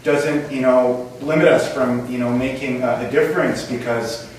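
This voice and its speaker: A man giving a halting, earnest, unscripted question from the audience at a public meeting. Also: mid-range voice, fairly even intonation. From Syria or Canada. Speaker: audience member asking a question. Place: civic centre meeting room. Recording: echoey hall from far off, faint noise.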